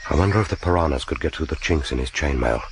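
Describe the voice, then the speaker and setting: An actor in a radio drama playing a grim, vengeful spy in brooding, sardonic inner monologue. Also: male voice, extremely low pitch, animated, fast talker. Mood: cold contempt. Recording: noisy radio drama recording.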